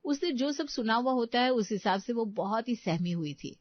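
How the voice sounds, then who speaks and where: A woman's voice: fairly high pitch, expressive, normal speed, no echo, highs cut off. A woman narrating a scripted Hindi bedtime family story.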